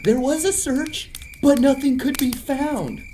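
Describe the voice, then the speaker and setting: A man's voice: very high-pitched, a little slow, warm tone, a little hiss or hum. An actor playing a cocky young man, a theatrical, easily exasperated camp counselor telling campfire stories in an audio drama.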